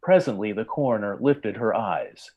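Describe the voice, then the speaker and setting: A man narrating a story in old-time radio style, with formal, measured literary delivery. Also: on the low side, lively intonation, phone-quality sound.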